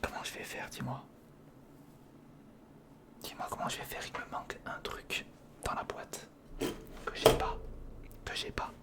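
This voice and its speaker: A man speaking in a breathy whisper, giving informal ASMR commentary while building something, muttering half to himself and half to the viewer. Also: a little slow, long pauses. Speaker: ASMR video creator.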